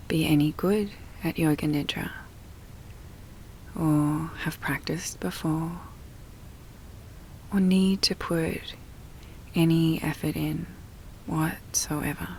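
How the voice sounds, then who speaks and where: A woman guiding a relaxation meditation in a soft, breathy, often near-whispered voice, soothing and full of long pauses. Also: low voice, steady tone, a little hiss or hum, low-quality recording. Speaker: yoga nidra meditation guide.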